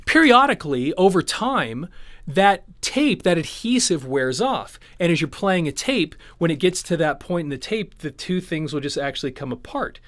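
A man's voice: fairly high pitch, fairly bright tone, no echo. A man in his forties giving a casual, conversational explainer to camera, patiently going step by step. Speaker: presenter, audio transfer studio owner. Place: small dim wood-panelled indoor studio.

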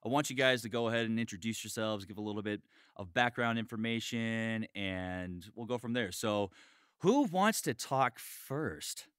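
A man hosts a casual podcast in a bright, forceful voice, recorded dry and close. He is chatty and excitable and swears freely. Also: fairly low pitch, normal speed, clean tone, dry recording. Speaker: podcast host, voice actor. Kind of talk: casual chat, hosting an online podcast.

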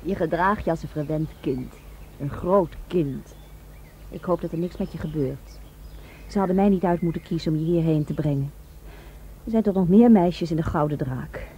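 A woman's voice: sits low, normal speed, dark tone, highs cut off, slight background noise. An actress playing a teasing, sensual young Japanese woman in a Dutch radio drama, intimate and playful. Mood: amused, scolding.

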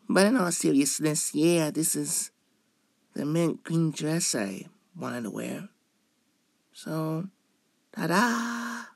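A man's voice: high-pitched, vocal fry, bright, forceful tone, dry recording, exaggerated voice. A young man playing a cute, shy, playful femboy in an ASMR roleplay, coy and hesitant, drawing out his words. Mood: excited, bashful.

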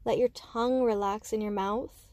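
A woman guiding a meditation with slow, soothing instruction, speaking gently and unhurriedly in short phrases with pauses. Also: a little high, steady tone, no room sound. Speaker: meditation guide.

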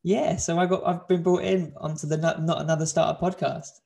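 A young man chatting casually on a video call, talkative and quick to laugh, often restarting his sentences. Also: on the high side, flat delivery, gravelly, a little mellow. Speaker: host doing a video challenge. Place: bright home room. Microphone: video call, desk mic.

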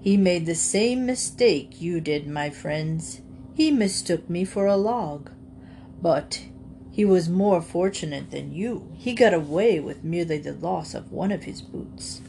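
A woman voicing an ancient male alligator, pompous, drawling and calmly menacing in a grandly polite way. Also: lowish voice, unhurried, creaky, a little hiss or hum. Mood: smug, menacing.

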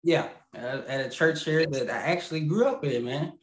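A man in his thirties speaks reflectively about his church and marriage, pausing with frequent ums. He is on a video call from a small room at home that sounds a little roomy. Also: fairly high pitch. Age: 30s. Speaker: assistant pastor. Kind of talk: casual interview chat.

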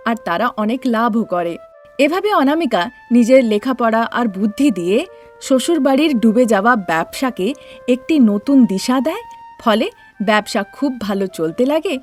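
A woman with a fairly high voice narrates a children's cartoon story. She reads from a script as a storyteller, with music playing behind her.

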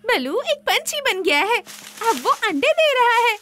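A woman's voice: very high-pitched, sing-song, a little slow, full character voice. A woman voicing a small, mischievous female monkey in a cartoon, taunting and gleeful. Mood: gleeful, mocking. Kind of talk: animated cartoon voice acting. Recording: very loud music over voice.

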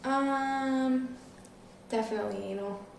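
A woman's voice: high-pitched, takes it slowly, vocal fry. A nineteen-year-old woman chatting casually as an interview guest, peppering her talk with filler words. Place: small living room, seated on a couch. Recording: roomy, distant mic, faint noise.

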